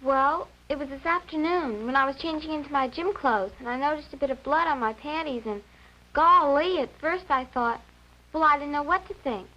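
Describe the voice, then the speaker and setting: Bright high-voiced eager American schoolgirl. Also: normal speed, highs cut off, a little hiss or hum. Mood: shyly excited. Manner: earnest, girlish, exclamatory. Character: eager, chatty, innocent girl of about twelve. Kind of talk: 1950s educational film acting.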